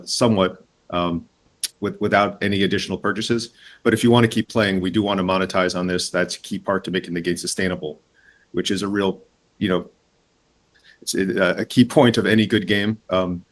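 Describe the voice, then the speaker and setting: Low-voiced man explaining with many pauses. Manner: hesitant, many "um" and "uh" pauses and restarts. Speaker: game studio head, interview guest. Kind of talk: casual interview, explaining a game.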